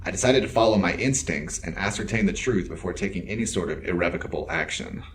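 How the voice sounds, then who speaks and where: An actor playing an earnest, principled android security officer in a science fiction audio drama, speaking in precise, formal sentences. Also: male voice, sits low, lively intonation, noisy background. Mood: dry, exasperated. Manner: precise, formal, measured wording.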